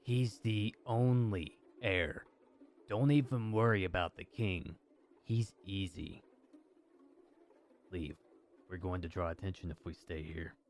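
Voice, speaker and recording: A man's voice: deep voice, slow, long pauses, slight background noise. An actor playing a confident male assassin in an audio drama, curt, dismissive and self-assured.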